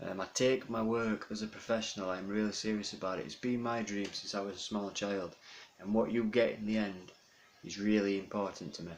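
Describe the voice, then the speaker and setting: A young man from South Yorkshire speaks in a lowish voice with his Yorkshire accent reined in. He rambles earnestly, stopping to think between phrases. The sound comes from a phone camera, with a faint layer of noise underneath. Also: steady tone. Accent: Yorkshire, toned down. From South Yorkshire, England. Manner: rambling, pausing often with ums, earnest. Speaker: vlogger introducing himself. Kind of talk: casual self-introduction monologue.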